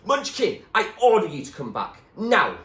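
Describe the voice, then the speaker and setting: A loud, hot-headed storybook boy , played by a man, pitched far up. He yells bossily and swoops with emotion, in an echoey room. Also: wildly swooping pitch, moderate pace, full character voice. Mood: furious, commanding. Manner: loud, yelling, commanding. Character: hot-headed, bossy boy fighter, quick to panic.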